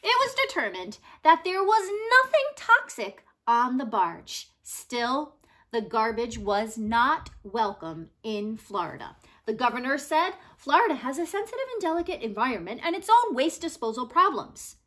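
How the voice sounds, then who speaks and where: A woman reads a story aloud in a high, bright voice. Her pitch swings in an animated way, and she leans on key words with dramatic pauses, like a storyteller reading to children. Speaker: reader of a children's non-fiction book. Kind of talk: expressive read-aloud narration.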